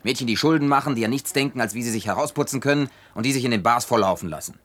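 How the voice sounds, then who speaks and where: A man's voice: medium pitch, racing, a bit bright, close to an everyday voice. An actor as Jim, a blunt stern young man. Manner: blunt, disapproving. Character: stern, practical young man from a small town. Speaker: dubbing actor. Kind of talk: German film dub.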